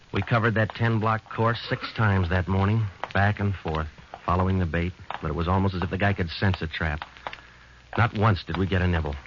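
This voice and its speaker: An American actor playing a terse, weary police sergeant in a deadpan, clipped manner, in the style of a 1950s radio crime drama. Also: male voice, low voice, natural voice. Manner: deadpan, matter-of-fact. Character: terse, weary male police detective sergeant narrating his case. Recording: muffled, noisy old radio recording.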